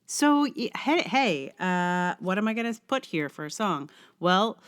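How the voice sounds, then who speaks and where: Low, clear-voiced middle-aged woman podcasting. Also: big pitch swings, measured pace. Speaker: podcast host. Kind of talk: scripted essay reading, then casual rambling solo podcast talk.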